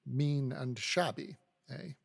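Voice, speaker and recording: Guy doing an older storybook lawyer, bossy n curt, talks down to people, husky, long echo. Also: mid-range voice, slow pace, heavily acted. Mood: contemptuous. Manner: brusque, condescending, impatient. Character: dry, curt, domineering older male lawyer.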